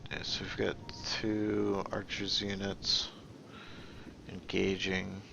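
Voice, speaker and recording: A man giving casual gameplay commentary, thinking aloud in a halting, musing way and often trailing off mid-thought. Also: sits low, monotone, creaky, lo-fi sound, background noise. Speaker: gaming video host.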